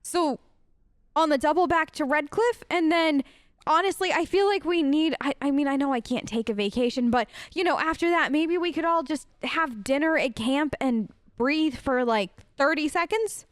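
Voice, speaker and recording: Girl doing a roleplay lets play, high pitched, chatty and casual, super dry audio. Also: moderate pace, dry recording. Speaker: let's play host. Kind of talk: role-play game commentary, casual chat.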